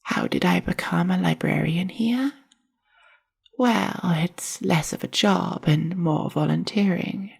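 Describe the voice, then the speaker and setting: Woman acting drider librarian, young, very husky and breathy, deeply creaky, soft-spoken, formal, reserved, bookish, ASMR roleplay. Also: exaggerated voice. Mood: calm, explanatory. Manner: formal, measured, slightly stilted and reserved. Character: reserved, bookish young female drider librarian, half-spider university student.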